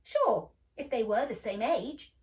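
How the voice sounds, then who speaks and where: A woman's voice: fairly high pitch, expressive, a little slow, distant mic, pitched above the performer's own voice. A woman voicing a superior, scornful young boy in a storybook, with an emphatic, condescending manner. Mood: scornful, loud.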